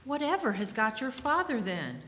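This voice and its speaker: A woman's voice: high voice, big pitch swings, normal speed, fairly bright tone. Woman playing bustling Mrs. Cratchit, echoey hall. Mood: fussing, affectionate. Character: Mrs. Cratchit, bustling affectionate Victorian mother. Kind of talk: dramatic reading of a Victorian tale. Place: live in a church. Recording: echoey hall, faint noise.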